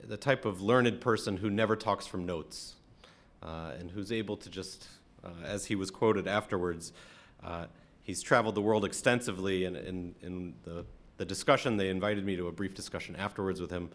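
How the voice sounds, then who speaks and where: An American man from Atlanta speaking as a rabbi, giving a conversational, reflective sermon with frequent halting pauses. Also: low-pitched, fairly bright tone. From Atlanta, American South. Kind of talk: sermon, conversational talk to congregation.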